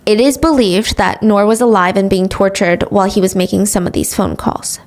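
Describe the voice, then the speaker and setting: A woman's voice: mid-range voice, steady tone, a little fast, darkish tone. A woman hosting a podcast, retelling a true crime case in casual chat with sarcastic asides. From grew up speaking English, also Korean. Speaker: podcast host.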